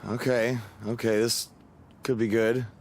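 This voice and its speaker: A man's voice: mid-range voice, level tone, unhurried, lightly acted. An actor playing a weary, sceptical young male wrestler in a video game, with a dry, hesitant, unimpressed manner. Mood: hesitant, resigned. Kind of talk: video game cutscene acting.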